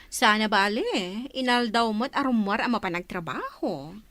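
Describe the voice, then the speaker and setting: A woman's voice: mid-range voice, expressive, moderate pace, fairly bright tone, no room sound, natural voice. An actress with an Ilocano accent playing a giggly, scheming young woman in a radio drama. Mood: mocking, amused.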